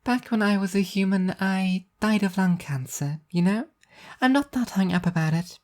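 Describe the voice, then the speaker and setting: A woman's voice: medium pitch, normal speed, a little mellow, pitched above the performer's own voice, natural voice. A woman voicing a dignified, benevolent, self-important female angel of justice, grandly declarative yet conversational, in a story reading. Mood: reassuring.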